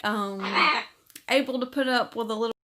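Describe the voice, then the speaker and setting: A woman's voice: on the high side, fairly even intonation, moderate pace, heavy background noise. Chatty woman livestream host. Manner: warm, chatty, reads comments aloud and answers them. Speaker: livestream host reading viewer comments. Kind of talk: casual livestream chat.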